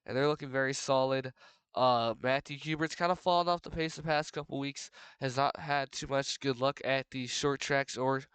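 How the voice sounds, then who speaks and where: A man's voice: mid-range voice, fairly bright tone, clean tone, dry recording. A young man with a congested, stuffy voice, giving a rambling solo preview of a racing league's standings with lots of fillers and restarts. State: congested from a cold. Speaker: race commentator for a sim racing league. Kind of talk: solo race preview commentary.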